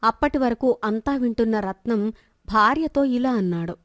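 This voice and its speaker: A woman narrates a scripted village moral tale. Her voice is high and the recording is dry, with very little room sound. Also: animated, moderate pace, fairly bright tone, dry recording.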